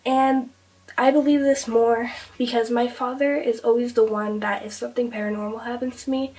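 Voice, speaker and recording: A young woman vlogger, hoarse and losing her voice from being sick, chattily retelling a spooky family story with many fillers. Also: on the high side, steady tone, normal speed, darkish tone, recorded from a distance, a little hiss or hum, low-quality recording. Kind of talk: casual storytelling to camera.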